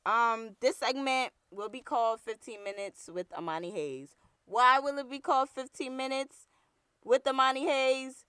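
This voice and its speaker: Young New York woman host, deep and rough, slangy and blunt; punchy delivery, dry recording. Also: normal speed, pushed and bright, dry recording. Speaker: podcast host. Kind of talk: casual solo commentary.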